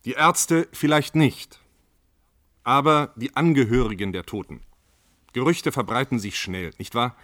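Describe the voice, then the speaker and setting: An actor plays a male government spokesman in a radio drama. He is bureaucratic and measured, always appeasing and evading on a panel. The sound is dry and close, with a medium-pitched voice. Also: fairly bright tone, no room sound, natural voice. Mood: evasive, measured. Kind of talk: radio play acting as a panel discussion guest.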